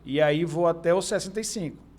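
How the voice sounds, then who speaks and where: A man with a Brazilian accent hosting an informal podcast, haltingly recapping and posing hypothetical questions. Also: fairly high pitch, a little mellow. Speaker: podcast host. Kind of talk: informal conversational interviewing.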